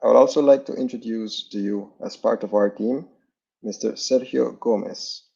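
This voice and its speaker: A man's voice: mid-range voice, moderate pace, creaky, mellow, husky. A man hosting an online training over a video call, formally making announcements and introducing the team. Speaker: training host. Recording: dull lo-fi video call audio.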